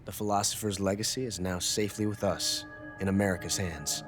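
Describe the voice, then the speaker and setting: An actor playing a cunning, smug double agent in a video game, reporting to superiors in a sly, deliberate way. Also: male voice, low-pitched, steady tone, faint music behind, natural voice. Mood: smug, pleased. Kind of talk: dramatic video game acting, one-sided phone report.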